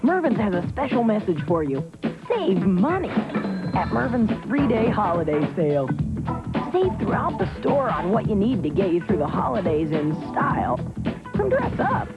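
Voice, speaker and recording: A woman's voice: mid-range voice, big pitch swings, moderate pace, highs cut off, loud music behind the voice. Bouncy woman reading a store ad. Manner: bright, bouncy sales delivery. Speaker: commercial announcer. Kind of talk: upbeat retail ad read.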